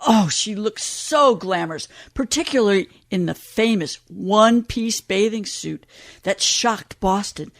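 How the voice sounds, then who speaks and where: Vintage Hollywood radio commentator, female actor: polished, gushing promo delivery, medium pitch, close dry sound. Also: lively intonation, a little slow, dry recording.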